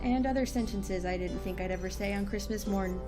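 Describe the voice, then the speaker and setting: A woman's voice: mid-range voice, a little fast, over music, lightly acted. A woman playing the wry director of a secret organisation in a dry comedic audio drama. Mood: wry, understated.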